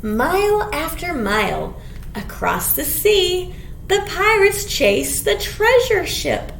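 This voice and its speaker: A woman with a very high voice leads a children's storytime at an unhurried pace. She speaks expressively to young listeners, with some creak in her voice. The small room adds echo. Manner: expressive, clearly addressing young children, with simple questions. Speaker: children's librarian leading storytime. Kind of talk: animated children's picture-book read-aloud. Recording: echoey small room, background hiss.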